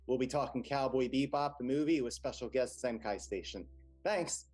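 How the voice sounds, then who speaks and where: A man's voice: medium pitch, moderate pace, a little mellow. A man co-hosting podcasts, casually signing off and plugging his work. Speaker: podcast co-host and cast member. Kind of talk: casual sign-off and plug.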